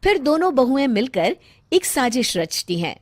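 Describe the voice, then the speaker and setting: A woman storyteller giving scripted storybook narration in a calm, measured way. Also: high voice, no room sound.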